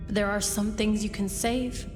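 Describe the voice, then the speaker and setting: A woman voicing a haunted young vampire in a video game, speaking in slow, detached riddles, dreamy and unhinged. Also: medium pitch, steady tone, long reverb tail, close to an everyday voice. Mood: dreamy, cryptic. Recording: background music under the voice.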